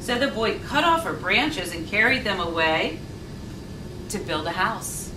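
A middle-aged woman reading a children's storybook aloud with deliberate, expressive phrasing. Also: mid-range voice. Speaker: volunteer story reader. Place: indoor sitting room with leather sofa. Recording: roomy, distant mic, faint noise.

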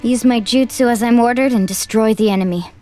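A woman's voice: fairly high pitch, a little fast, darkish tone, faint music behind, close to an everyday voice. A woman voicing a withdrawn, resigned young ninja girl in an anime game dub, speaking in clipped, guarded phrases. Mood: cold, resigned.

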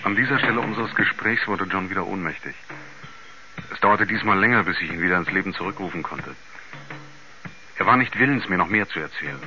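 An actor playing a sober male investigator, narrating a strange case in a measured, formal, factual way. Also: low voice, over music, close to an everyday voice. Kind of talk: scripted narration in 1970s German radio drama. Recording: dull vintage radio recording.

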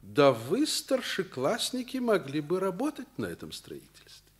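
An actor playing a fatherly, earnest middle-aged school director in a Soviet radio play, explaining in a measured, persuasive way. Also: on the high side, huge pitch swings.

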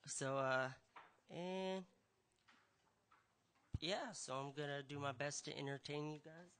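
A young man stands at a small coffeehouse open mic with a guitar and speaks with a bright, slightly high voice. He talks shyly and haltingly, full of fillers. A stage mic picks him up as a room camera records. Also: fairly even intonation, slight background noise. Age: young adult. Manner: shy, halting, many fillers. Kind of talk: casual stage patter. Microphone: stage mic, picked up by a room camera.

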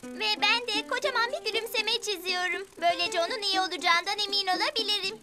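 A woman's voice: extremely high pitch, quick pace. A woman voicing a small, playful, inquisitive ladybird in a children's cartoon dub. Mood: curious. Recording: loud children's music behind.

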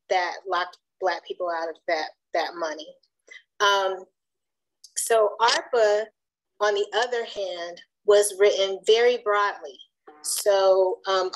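A middle-aged woman from North Carolina, soft-spoken and measured, presenting research to a coalition over a webcam call from a room at home. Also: medium pitch, poor audio. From Durham, North Carolina. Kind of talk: informational presentation on a video call. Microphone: video call webcam.

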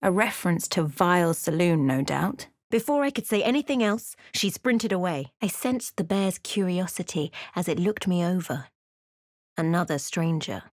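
Woman acting a measured, wry fantasy adventuress, dry close sound. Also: on the low side, expressive, dry recording, lightly acted. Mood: measured, watchful. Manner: measured, literate, dramatic storytelling with wry asides. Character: observant young adventuress, a fantasy game companion recounting her journey. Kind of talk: video game voice lines, dramatic narration and remarks.

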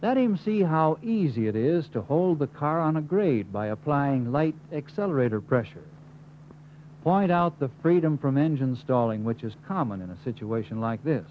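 A man's voice: on the high side, big pitch swings, normal speed. An American man reading scripted instructional narration in an emphatic manner, as the narrator of a training film. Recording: muffled, hissy 1950s film soundtrack.

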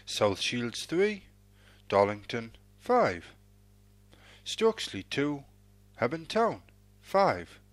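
Slow, lilting male results announcer. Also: medium pitch, very animated, no room sound. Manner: measured, formulaic sing-song cadence. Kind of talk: football results read-out.

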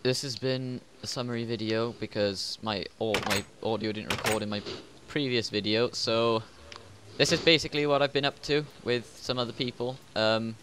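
A man's voice: medium pitch, steady tone, moderate pace, noisy background. A man chatting casually and joking with friends while recording himself playing a game. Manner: joking, laid-back banter. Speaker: gamer recording his channel. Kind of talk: casual gaming chat with friends.

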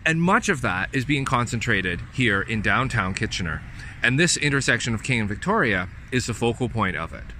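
A man speaks in a measured, explanatory way, pausing now and then to restart a phrase. His voice sits at an ordinary pitch. He is recorded outdoors on a city street, with clear traffic noise behind him. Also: sing-song, sharp tone. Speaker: urban planning professor. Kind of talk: walking tour explanation.

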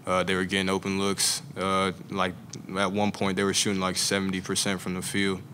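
A man's voice: low-pitched, completely flat delivery, a little fast, sharp tone, slight background noise. A young man, a college basketball player, answering at a postgame press conference with many "like" and "I mean" fillers into a table mic. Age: young adult. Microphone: table mic on a stand.

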